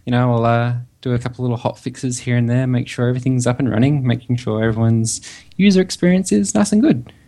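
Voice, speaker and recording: A man's voice: mid-range voice, darkish tone. A man with an Australian accent, talking casually as a guest on a podcast. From Perth, Western Australia. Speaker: podcast guest, website developer. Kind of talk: casual interview chat.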